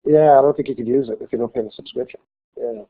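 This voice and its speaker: A male college instructor giving a classroom lecture with a slight cold, talking haltingly with many fillers and restarts. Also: medium pitch, sing-song, creaky. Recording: tinny phone-like lecture recording.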